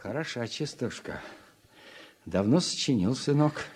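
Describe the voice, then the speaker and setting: A man's voice: mid-range voice, expressive, a little slow, heavy background noise, natural voice. A man acting in a Soviet radio drama as a stern, sardonic middle-aged father who bosses a harvest crew. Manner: sardonic, authoritative.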